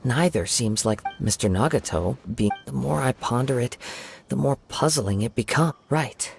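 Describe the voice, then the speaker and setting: An actor playing a calm, thoughtful young wandering samurai in an anime game, measured and polite. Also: male voice, mid-range voice, creaky, a little hiss or hum. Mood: pensive. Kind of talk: anime game character acting.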